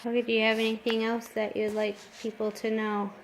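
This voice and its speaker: Middle-aged American woman, even-pitched, some noise. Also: a little fast, rough recording. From Detroit, United States. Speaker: host, interviewer. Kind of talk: informal interview and appeal.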